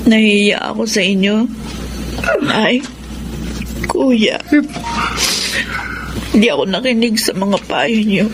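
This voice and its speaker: A woman's voice: medium pitch, slow, long pauses, background noise, lightly acted. A woman playing a heartbroken, ashamed daughter in a Filipino radio drama, speaking tearfully and pleadingly. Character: heartbroken, ashamed daughter abandoned by her lover. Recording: loud music under the voice. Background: music.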